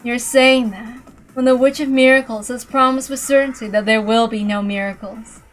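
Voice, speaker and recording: Cruel female witch acted by a woman, high voice, taunting, theatrical delivery, storybook villain, music behind. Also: fairly even intonation, normal speed, natural voice. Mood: cruel gloating. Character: cruel, gloating female witch with cat minions.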